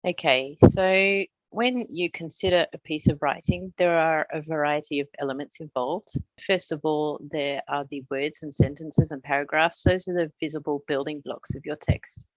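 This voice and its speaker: A middle-aged woman from Australia, a translator, giving a prepared presentation in a measured, explanatory way with touches of humor. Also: low-pitched, steady tone, no echo, highs cut off.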